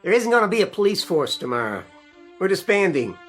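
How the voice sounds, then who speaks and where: A blunt, grim policeman talks with widely swinging pitch. The delivery is 1960s television drama, from a vintage soundtrack with music underneath. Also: sing-song, fairly quick, fairly bright tone, full character voice. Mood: urgent.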